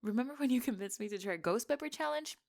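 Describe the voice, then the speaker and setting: A woman playing a bossy, secretly smitten young tomboy best friend in an intimate ASMR roleplay, teasing and defensive, with halting, stammering delivery. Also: fairly high pitch, animated, vocal fry, a little mellow. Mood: awkwardly teasing.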